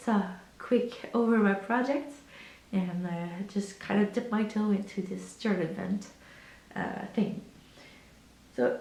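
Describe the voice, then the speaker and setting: Hoarse woman with a croaky voice. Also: a little high. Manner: calm, unhurried, many fillers. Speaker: vlogger. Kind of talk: casual chat. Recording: echoey room.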